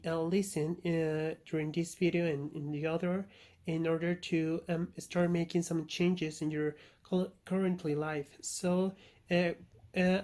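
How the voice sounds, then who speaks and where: Halting, low-key man with a Spanish accent. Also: a little mellow. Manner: halting, searching for words. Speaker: tarot and oracle reader, channel host. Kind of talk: informal spoken introduction to an oracle card reading.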